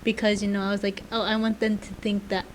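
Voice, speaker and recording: A woman's voice: mid-range voice, level tone, quick pace, poor audio. A young woman whose first language is Spanish, co-hosting a student podcast and telling stories in casual chat with hesitant fillers. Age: young adult. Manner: chatty, storytelling, hesitant fillers. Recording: faint background noise.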